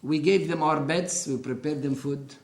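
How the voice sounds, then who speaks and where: A man in his sixties with an Arabic accent, giving earnest, deliberate personal testimony in an interview. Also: a little high, creaky, reverberant. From Upper Galilee, Israel. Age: 60s. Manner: earnest, deliberate storytelling with emphatic pauses.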